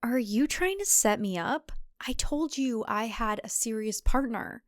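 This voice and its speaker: A woman's voice: on the high side, lively intonation, measured pace, dry recording. A woman voicing a wry, uneasy city woman in audio fiction, dry and politely skeptical. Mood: annoyed.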